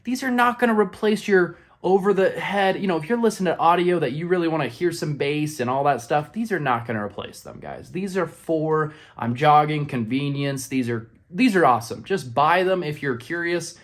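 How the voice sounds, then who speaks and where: A man's voice: on the high side, normal speed, few pauses. A man in his thirties talking informally to the camera in a casual product review, addressing viewers directly in a small indoor room. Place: small indoor room with painted brick wall.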